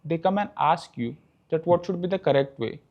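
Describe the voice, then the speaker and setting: A man's voice: on the high side, a little fast, narrow, tinny audio. A young Indian man in his twenties whose first language is Marathi, speaking Indian English as a professor answering interview questions, checking in with "okay" after his points. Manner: explanatory, says "okay" often after points. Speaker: guest, professor.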